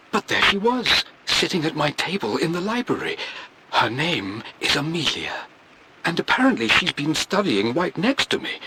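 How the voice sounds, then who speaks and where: A man's voice: mid-range voice, noisy background, close to an everyday voice. An actor playing a refined, introspective English scholar with an English accent, musing theatrically as he records a journal in a video game. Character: scholarly English gentleman, obsessive researcher, smitten and introspective.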